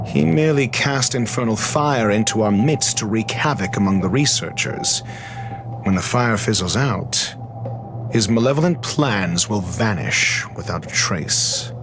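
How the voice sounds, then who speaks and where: Smug genius detective type in a game, slow n measured, lots of inflection, music behind. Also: male voice, on the low side, animated. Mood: resolute. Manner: measured, theatrical, self-assured. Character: arrogant, cool-headed male scholar detective. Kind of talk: video game dialogue acting.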